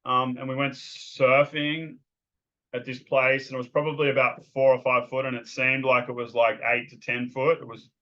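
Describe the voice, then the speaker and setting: A man's voice: medium pitch, sharp tone, lo-fi sound. A man with an Australian accent, a guest telling his story in a loose, rambling way with lots of ums and restarts. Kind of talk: casual interview talk.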